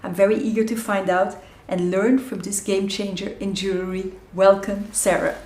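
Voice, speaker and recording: A woman's voice: mid-range voice, level tone, moderate pace, a little mellow. A woman hosting a podcast interview, asking questions with some hesitant filler words. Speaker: podcast host. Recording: roomy echo.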